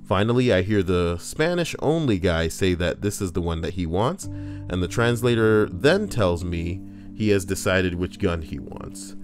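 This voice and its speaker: A man's voice: sits low, lively intonation, measured pace, soft background music. A man casually reading forum posts aloud on a livestream, breaking in with crude, joking asides.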